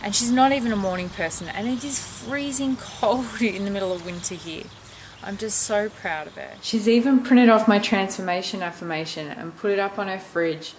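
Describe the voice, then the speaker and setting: A woman's voice: medium pitch, normal speed. A young Australian woman talking casually to the camera in a vlog. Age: young adult. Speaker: vlogger. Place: outdoors on a farm. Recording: noisy outdoor ambience with birds.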